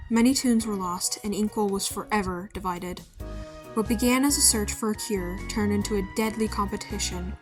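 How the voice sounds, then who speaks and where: A woman giving a solemn, measured narration of a fantasy tale. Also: medium pitch. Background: music.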